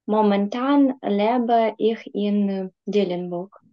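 A Ukrainian woman in her thirties introduces herself slowly and carefully, like a beginner. The call audio sounds dull and muffled. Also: mid-range voice, no room sound. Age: 30s. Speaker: student.

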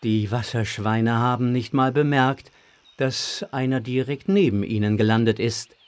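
A man's voice: medium pitch, steady tone, measured pace. A man narrating a children's audio drama from a script.

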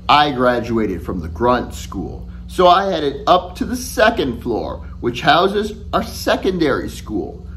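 Animated man reading a storybook aloud. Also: mid-range voice, slight background noise, low-quality recording. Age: middle-aged. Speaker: author reading his own children's novel. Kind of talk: storybook read-aloud to camera. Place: furnished room at home, indoors.

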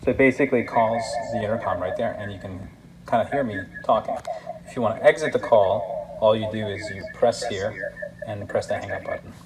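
A man's voice: fairly low pitch, fairly quick, low-quality recording. A man narrating a casual hands-on product tutorial as a demonstrator, explaining things step by step in an instructional way. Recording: clear background noise, room ambience.

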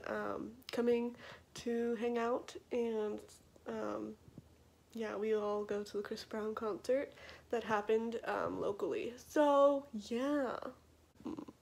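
A young woman vlogging casually from a phone at arm's length, rambling with lots of fillers, a bit hoarse. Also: a little high, steady tone, slow, darkish tone. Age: young adult. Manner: chatty, rambling with many fillers. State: hoarse, losing her voice. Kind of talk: casual vlog chat. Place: car and rooms, phone held at arm's length.

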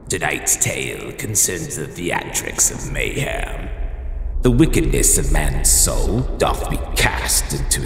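An actor playing an ominous hooded male horror host in an audio drama, speaking with a very deep gravelly voice and slow theatrical delivery. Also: a bit bright, exaggerated voice. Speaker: horror anthology narrator. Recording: echoey reverb with music behind.

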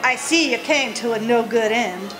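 A woman's voice: fairly high pitch, normal speed, over music, crowd noise, natural voice, poor audio. A woman playing a stern, judgmental, prim mother who scolds, in a radio drama. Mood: scolding.

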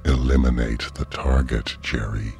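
Sinister virtual game guide, bottomless bass, gravelly fry, cold commands, audio drama, music underneath. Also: male voice, steady tone, unhurried, a little mellow. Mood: cold, commanding. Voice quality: gravelly, very deep. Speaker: actor.

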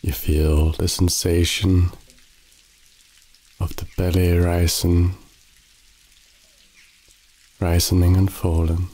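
A man with a very low, mellow voice leads a sleep meditation, speaking slowly and softly with a flat, even delivery. Rain patters steadily behind him. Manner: slow, soothing, calm instructions. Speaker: meditation guide. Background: rain sounds.

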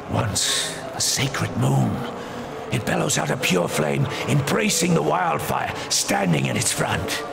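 An actor playing a fanatical, grieving cult priest of fire in a video game, preaching in a gravelly, grandiose, theatrical manner. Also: male voice, mid-range voice, full character voice. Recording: loud music under the voice.

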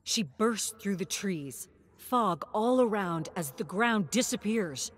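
A woman narrating a scripted dramatic story with theatrical, suspenseful and deliberate phrasing. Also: medium pitch, expressive.

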